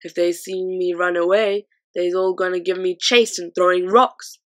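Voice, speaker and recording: A woman doing a gentle big friendly giant. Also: fairly low pitch, fairly even intonation, normal speed, close to an everyday voice. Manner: mangled grammar, odd made-up words.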